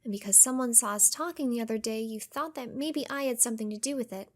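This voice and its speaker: A woman playing a gentle but firm, mysterious young female master and fighter in a video game fan dub. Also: fairly high pitch, quick pace, close to an everyday voice. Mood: gentle, composed. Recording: music under the voice.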